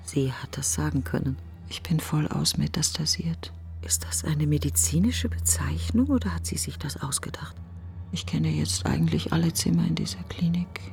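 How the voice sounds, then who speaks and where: A middle-aged woman, grieving and bitterly wry, in a radio-play monologue. Her voice is creaky and often sinks to a breathy near-whisper. She questions herself in broken, sardonic phrases over faint music. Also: low voice, sing-song, normal speed, a little mellow, exaggerated voice. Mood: grieving reflection. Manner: fragmented, musing, questioning, sardonic. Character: grieving, bitterly wry successful woman thinking aloud while driving. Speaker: actress in a monologue.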